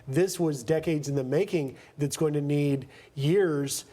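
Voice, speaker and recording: Higher-pitched American male news anchor. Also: unhurried, dark tone. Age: 50s. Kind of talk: live TV news anchoring and interviewing.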